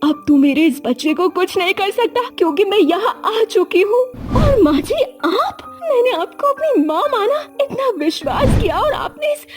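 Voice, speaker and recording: A frightened pregnant young wife, as a woman narrator puts her on, pleading through tears in a very high, thin voice. She sounds strained and desperate, her voice cracking upward against soft background music. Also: moderate pace, few pauses. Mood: accusing. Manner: pleading, tearful, high-strung. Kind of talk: storybook character voice.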